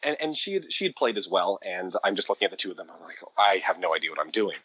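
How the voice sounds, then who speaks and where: Canadian male podcast guest, low and husky, animated storyteller, dry but dull lo-fi recording. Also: fast talker, dry recording. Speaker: podcast guest, audio drama producer. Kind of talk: conversational interview storytelling.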